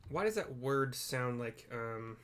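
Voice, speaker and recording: Man, mid-pitched, game playthrough host, casual commentary, rambling, self-deprecating, hesitant phrasing. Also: lively intonation, slow, a bit bright, smooth. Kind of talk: casual commentary with improvised scene descriptions.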